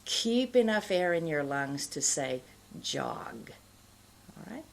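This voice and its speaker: A middle-aged woman giving an instructional pronunciation lesson, explaining in a deliberate, carefully enunciated way. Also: low-pitched, sing-song, gravelly. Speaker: pronunciation teacher. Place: indoor studio, plain backdrop.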